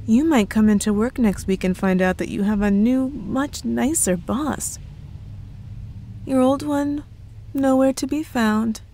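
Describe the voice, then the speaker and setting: A woman's voice: medium pitch, vocal fry, mellow. A woman playing a playful, possessive witch girlfriend in an ASMR roleplay, teasing and coy with a sweetly threatening edge.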